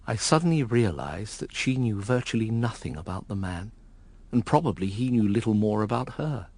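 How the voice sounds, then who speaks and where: Low-voiced, sombre gentleman narrator. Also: lively intonation, normal speed. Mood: reflective, sombre. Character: reflective gentleman recalling a lost love. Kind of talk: scripted narration in a radio drama.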